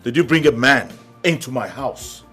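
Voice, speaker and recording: An actor playing an angry husband and father who shouts accusations at his wife. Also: medium pitch, animated, moderate pace, faint music behind. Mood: demanding. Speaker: actor in a domestic drama.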